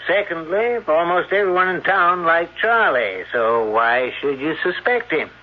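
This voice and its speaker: Radio storyteller: shrewd small-town type, slow and suspenseful, slightly dull vintage sound. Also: male voice, on the high side, animated. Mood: wry, measured. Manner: deliberate, suspenseful, explanatory. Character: shrewd, unhurried townsman telling a grim tale. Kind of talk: old-time radio drama storytelling. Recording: dull old radio recording.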